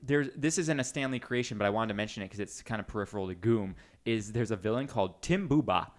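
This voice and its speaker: A man's voice: on the low side, expressive, fast. An American man hosting a podcast in a casual, informal, joking chat style. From United States. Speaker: podcast host.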